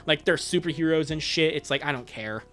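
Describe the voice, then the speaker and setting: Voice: mid-range voice, a little fast, fairly bright tone. Exasperated, sweary livestreamer over music. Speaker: livestream host reading fan fiction aloud. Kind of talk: casual livestream chat with reactions to viewers.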